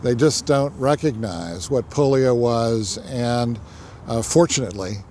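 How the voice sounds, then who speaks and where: Brief: man, mid pitch, deliberate interview talk, faint background noise. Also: darkish tone. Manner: deliberate, with fillers. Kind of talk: interview recollection.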